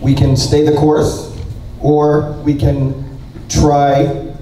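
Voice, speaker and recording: Flat-voiced man in a very echoey hall. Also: on the high side, extremely slow, far from the mic, slight background noise. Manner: formal address. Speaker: election candidate. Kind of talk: closing statement. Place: public debate hall.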